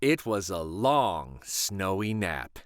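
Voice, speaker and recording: A man reading a picture book aloud as a children's story host. His telling is slow and soothing, with a pitch that rises and falls lively and a bright tone. The sound is close and dry. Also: no room sound. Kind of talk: storybook read-aloud narration.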